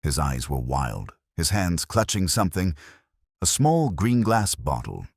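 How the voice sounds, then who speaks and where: A man's voice: deep voice, very animated, unhurried, darkish tone, slightly rough, no room sound, clean studio sound. A man reading a scripted first-person detective story as an audiobook narrator.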